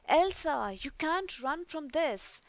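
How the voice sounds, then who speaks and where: A woman reading a storybook and voicing a scheming, ambitious young prince in a declamatory, deliberate way. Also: high voice, animated, dry recording, voice pushed up, exaggerated voice. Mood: accusing.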